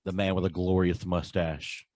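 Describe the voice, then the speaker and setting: Low-pitched, casual male podcaster. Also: level tone, normal speed, darkish tone, dry recording. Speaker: podcast co-host. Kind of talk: casual chat.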